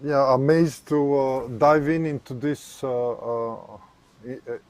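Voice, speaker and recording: Male co-analyst, middle pitch, hesitant and filler-heavy delivery. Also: slow pace, darkish tone. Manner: hesitant, many fillers and repeats. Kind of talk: casual livestream chat.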